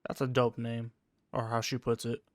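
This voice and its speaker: Very deep-voiced woman, dry gaming commentary. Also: completely flat delivery, moderate pace, no room sound. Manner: dry, sardonic asides. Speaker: gamer reacting while playing. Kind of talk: casual playthrough commentary, swearing.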